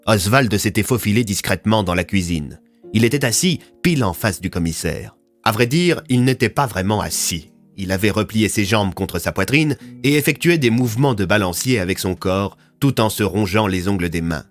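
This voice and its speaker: Male narrator for serial audio fiction, dramatic scripted read, low pitch, soft music bed. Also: animated, moderate pace.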